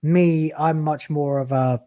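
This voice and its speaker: A man's voice: a little high, steady tone, takes it slowly, dark tone, no echo, muffled recording. A man in his late thirties, a YouTuber talking in a casual podcast chat, blunt and profane, with emphatic swings in delivery. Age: late 30s. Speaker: YouTuber guest.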